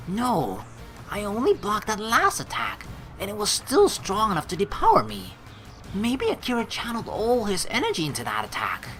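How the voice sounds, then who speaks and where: A woman playing through a game, reading the story aloud and reacting excitably with casual swearing. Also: medium pitch. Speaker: let's play streamer reading a visual novel aloud.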